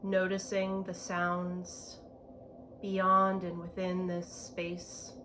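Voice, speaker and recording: A woman leading a guided meditation in a gentle, unhurried manner, with spacious phrasing and long pauses. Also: on the low side, monotone. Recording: clear background ambient noise.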